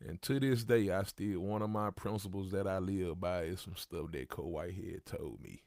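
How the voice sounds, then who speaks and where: A man in his thirties with a low, gravelly voice, talking casually on his livestream. He leans on slang and circles back to repeat his points. The mic is close and the sound is dry. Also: moderate pace, darkish tone, dry recording. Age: 30s. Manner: gravelly, conversational, repeats himself, street slang. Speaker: livestream host.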